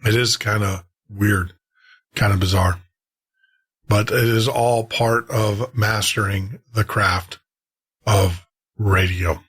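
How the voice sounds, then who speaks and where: A man's voice: on the low side, steady tone, takes it slowly, long pauses, fairly bright tone, slightly rough. A man hosting a radio show, talking casually and conversationally to his listeners in a rambling way, repeating himself for emphasis. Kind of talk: casual sign-off talk to listeners.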